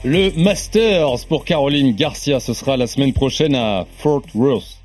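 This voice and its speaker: A man hosting a tennis podcast, introducing guests with quick joking asides. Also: medium pitch, darkish tone, faint music behind. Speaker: podcast host. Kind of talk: casual sports podcast chat.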